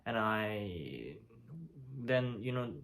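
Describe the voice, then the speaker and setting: A man in his twenties from China, whose first language is Chinese, talks to his vlog audience in a somewhat low, gravelly voice. He speaks in halting bursts, full of fillers and restarted sentences, in a plain casual tone. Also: big pitch swings, a bit bright. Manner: hesitant, many fillers and restarts. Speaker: vlogger. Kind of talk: casual talk to camera. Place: lives in Italy.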